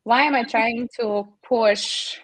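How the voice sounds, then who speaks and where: Young Hungarian-accented woman, native Hungarian, animated interview guest, dry close sound. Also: on the high side, slow, dry recording, poor audio. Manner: animated, storytelling with fillers. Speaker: podcast guest. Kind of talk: interview storytelling.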